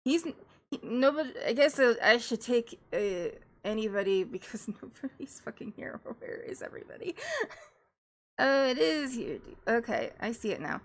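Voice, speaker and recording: A woman's voice: on the high side, sing-song, normal speed. A woman streamer chatting casually as she reacts to songs, rambling with lots of fillers and small laughs. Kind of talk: casual live-stream commentary.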